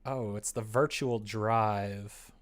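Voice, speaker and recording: A man chatting casually on a livestream as he works, rambling and self-deprecating, often muttering to himself, with a gravelly, creaky voice. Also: fairly low pitch, steady tone, takes it slowly. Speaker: live streamer, game developer. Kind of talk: casual livestream chat while working on screen.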